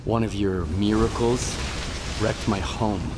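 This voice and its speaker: A man's voice: on the low side, steady tone, slow pace, heavy background noise. A man acting a guarded, embittered lone stalker in a video game, speaking bluntly. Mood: bitter. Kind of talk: terse video game dialogue.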